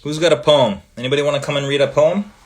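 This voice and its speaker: A man from Canada whose first language is Italian, hosting a casual livestream, reading fan comments aloud in a relaxed way with many ums and trailing pauses. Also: on the high side. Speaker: livestream host.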